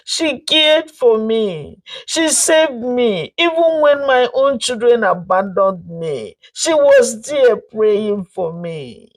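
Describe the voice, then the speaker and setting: A woman's voice: very animated, slow, exaggerated voice, poor audio. A woman voicing a weeping, remorseful older mother-in-law who confesses and begs. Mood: confessing tearfully. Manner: weeping, pleading, raised pitch.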